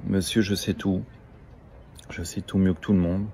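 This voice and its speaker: A man from Luxembourg giving a slow, deliberate and disdainful talking-head monologue. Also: low-pitched, flat delivery, dark tone, a little hiss or hum. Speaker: social media influencer.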